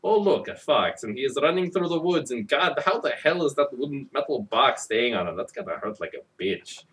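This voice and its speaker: A man's voice: mid-range voice, animated, moderate pace, a bit bright. A man narrating a comic dramatic reading of a children's story, hammy and sarcastic, recorded at some distance from the microphone.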